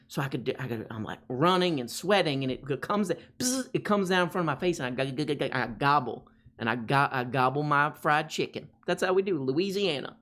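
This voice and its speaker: A man's voice: on the high side, lively intonation, brisk, a bit bright. A man voicing an over-the-top Southern man who boasts about eating fried food, in a drawling, hammy comic impression on a livestream.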